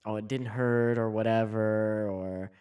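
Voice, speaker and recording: A man's voice: fairly low pitch, steady tone, measured pace, a little mellow, smooth, recorded from a distance. A man in his thirties chatting casually as a podcast co-host, musing with frequent restarts. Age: 30s. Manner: musing, talks in starts and restarts. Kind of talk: casual chat.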